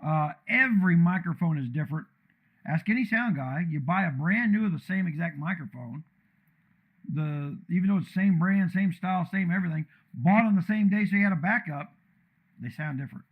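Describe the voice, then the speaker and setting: Blunt man with a deep commanding voice. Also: lively intonation, a little fast, phone-quality sound. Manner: blunt, loud, rambling. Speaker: streamer tuning his microphone. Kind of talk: casual live-stream rant. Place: untreated room with echo and a computer fan.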